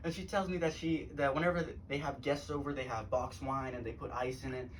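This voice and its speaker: A teenage man from Los Angeles doing a deadpan live stand-up set, often laughing at his own jokes. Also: mid-range voice, fairly quick. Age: teens. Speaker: stand-up comedian. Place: comedy club stage, live audience. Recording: noisy live room, mic at a distance.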